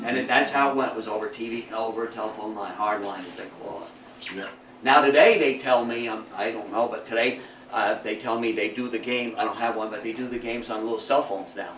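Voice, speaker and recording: An older man giving an informal talk to a group and reminiscing, in a folksy, halting way with repeated words. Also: fairly low pitch, a bit bright. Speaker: guest speaker at a local history talk. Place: meeting room with an audience. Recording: echoey room, distant mic, background hiss.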